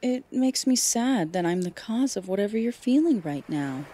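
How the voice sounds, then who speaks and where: A woman voicing a caring, playful young woman in audiobook dialogue, speaking gently and casually. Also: mid-range voice, animated, dry recording, lightly acted. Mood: sad, tender. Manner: gentle, casual, halting colloquial phrasing.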